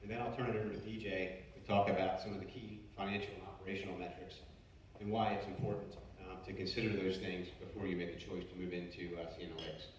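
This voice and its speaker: A man's voice: sits low, little pitch movement, fast. Chatty man in an echoey hall. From Baltimore, USA. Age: 40s. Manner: chatty with frequent fillers and restarts. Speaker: finance presenter. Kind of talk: casual company presentation. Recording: echoey room, distant mic, faint noise.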